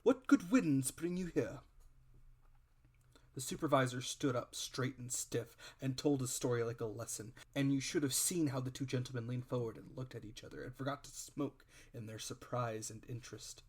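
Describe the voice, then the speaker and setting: A man's voice: medium pitch, steady tone, brisk, few pauses, slightly rough. A man reading a classic adventure story aloud as scripted, dramatic narration. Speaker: audiobook reader.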